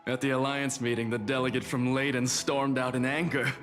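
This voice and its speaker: A man's voice: mid-range voice, flat delivery, brisk, fairly bright tone, lightly acted. A man plays a gossipy townsman in a fantasy game. He has a mid-range voice and a nervous air, with music playing faintly behind him. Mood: furtive. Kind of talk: fantasy video game voice acting.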